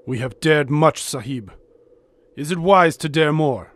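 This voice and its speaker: An actor playing a loyal, superstitious desert companion in an audio drama, solemn and ominous, with archaic phrasing. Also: male voice, on the high side, measured pace, fairly bright tone. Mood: wary.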